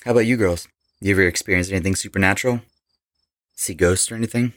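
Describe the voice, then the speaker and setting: A man's voice: low-pitched, fast talker, a bit bright, dry recording, clean studio sound. A man playing a laid-back rock musician with an interest in the occult in an audio horror drama. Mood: easygoing.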